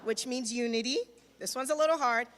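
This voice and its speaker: A woman speaks to an outdoor crowd in a bright, instructive way. She leads them in repeating words back to her. Her voice echoes through a public address system with a long reverb. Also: on the high side, fairly quick. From Nigeria. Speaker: food truck owner. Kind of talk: public teaching speech.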